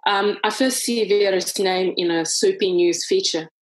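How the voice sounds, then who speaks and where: A woman's voice: medium pitch, normal speed, poor audio. Woman author with a heavy foreign accent. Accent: foreign accent in English. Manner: thoughtful, searching for words, frequent restarts. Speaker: author reading from her book. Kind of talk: reading aloud, then conversational interview.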